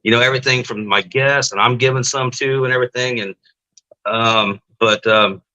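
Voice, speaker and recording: A man with a bright, forward voice hosts a live-stream testimony show. He chats with his guest and viewers in a casual, loose way, full of fillers. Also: mid-range voice, fairly even intonation, moderate pace, highs cut off. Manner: chatty, many fillers.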